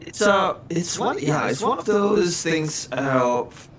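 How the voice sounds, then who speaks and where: A man's voice: fairly high pitch, expressive, takes it slowly, fairly bright tone. A man co-hosting a livestream in casual chat, stumbling and repeating words as he goes. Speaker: livestream co-host. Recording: faint background noise.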